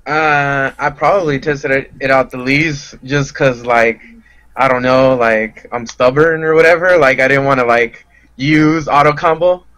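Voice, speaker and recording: A man's voice: medium pitch, steady tone, unhurried, fairly bright tone, rough recording. A man chatting casually as a podcast guest, rambling in a hesitant, self-deprecating way. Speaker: podcast guest, fighting game player. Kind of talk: casual chat.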